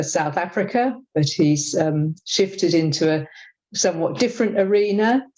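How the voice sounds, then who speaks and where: A woman chairing a rally, introducing the next speaker in a friendly, halting way with restarts. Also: lowish voice, a little mellow. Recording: dull lo-fi sound.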